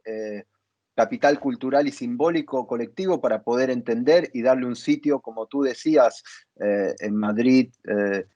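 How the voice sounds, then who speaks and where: A man moderating an interview, speaking reflectively in thoughtful, halting phrases. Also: medium pitch, darkish tone, no echo. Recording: dull, muffled lo-fi line.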